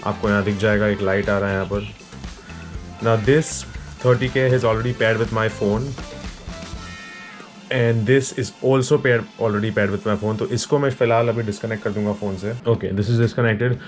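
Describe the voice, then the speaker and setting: A man with an Indian accent and a fairly low voice talks through a product in an informal, explanatory way. He takes his time, switching languages as he goes. Faint guitar music plays behind him. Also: steady tone, unhurried. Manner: informal, explanatory, addresses viewers as guys. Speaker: motorcycle gear reviewer. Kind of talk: casual product review, mixing Hindi and English.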